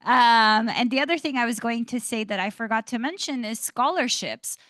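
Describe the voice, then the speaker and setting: A woman's voice: a little high, moderate pace, fairly bright tone, dry recording. A woman speaking as an institute director on an academic panel, articulate and enthusiastic, encouraging students. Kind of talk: panel discussion answer. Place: lecture hall panel event.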